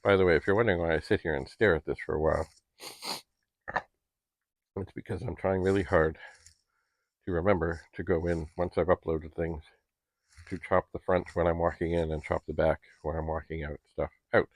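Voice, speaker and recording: A man's voice: deep voice, steady tone, normal speed, no echo. A middle-aged man talking casually to camera in a rambling, chatty, politely old-fashioned way, as a home vlogger. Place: basement at home.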